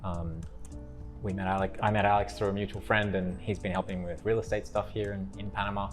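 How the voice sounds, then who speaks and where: Low-voiced Australian man, easygoing. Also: fast talker, soft background music. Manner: relaxed, rambling with frequent restarts and laughs. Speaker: interview guest. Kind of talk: casual interview chat.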